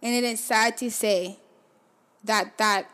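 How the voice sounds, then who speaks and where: Soft-trailing young woman telling her story. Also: fairly even intonation, slow. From Haiti. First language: Haitian Creole. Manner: reflective, often drops to a soft low trail at phrase ends. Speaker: podcast host. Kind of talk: personal storytelling.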